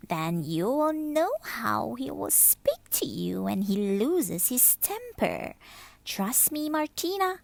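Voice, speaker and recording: A sly, elderly cockroach grandmother from a storybook, played by a woman. She gives advice slowly and knowingly, stretching her words, in a close, dry sound. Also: fairly high pitch, sing-song, creaky, dry recording, pitched above the performer's own voice, exaggerated voice. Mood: sure, advising.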